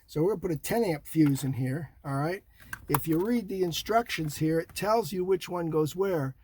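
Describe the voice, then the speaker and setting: Older man, slightly high voice, DIY vlog host, folksy short phrases, noisy car interior. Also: moderate pace, darkish tone. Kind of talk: casual hands-on install demonstration. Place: inside a parked Jeep.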